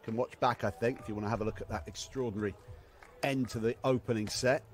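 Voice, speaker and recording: A man's voice: mid-range voice, steady tone, fast. A man giving live beach volleyball commentary in clipped phrases that swell on big points. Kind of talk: live sports play-by-play commentary.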